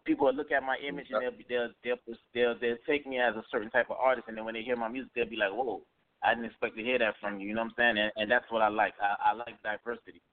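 A young male rapper from Miami, chatting casually in an interview and circling his points with fillers and restarts. His voice sits at an ordinary pitch. The sound is narrow and phone-like, with no room around it. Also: flat delivery, fast, no room sound. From Miami, Florida. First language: Haitian Creole heritage speaker. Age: young adult. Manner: loose, repetitive, full of fillers and restarts.

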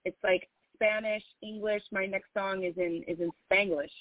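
A woman's voice: mid-range voice, steady tone, normal speed, no echo. A female singer-songwriter chatting in an interview, earnest and heartfelt, with lots of "you know" fillers. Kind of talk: casual interview chat. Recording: tinny phone-like line, dry.

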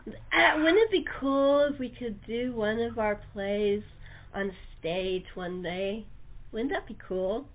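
A woman's voice: a little high, slow pace. A woman talk show host chatting casually in a chatty, enthusiastic way. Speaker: talk show host and radio-play producer. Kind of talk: casual chat. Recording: thin narrow phone-line audio.

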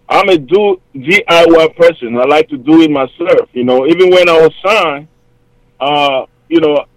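A man's voice: on the high side, no echo, poor audio. A man with a Cameroonian accent, a hip-hop artist talking as a guest on an interview show, upbeat and rambling with plenty of fillers. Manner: upbeat, talks in quick rambling phrases with many fillers. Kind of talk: casual interview over a remote line.

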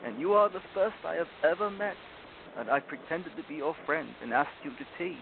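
A man's voice: fairly high pitch, lively intonation, gravelly, a crowd in the background. Man voicing a tearful, guilt-ridden fawn, tinny audio. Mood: guilty confessing. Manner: plaintive, sobbing, drawn-out confessing. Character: guilty, tearful, remorseful male fawn with horns. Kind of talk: storybook reading in character. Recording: tinny phone-like audio with loud noise.

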